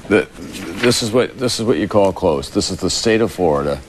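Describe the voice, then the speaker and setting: Male news anchor, deep register, live election broadcast delivery, slight background noise. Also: expressive, moderate pace.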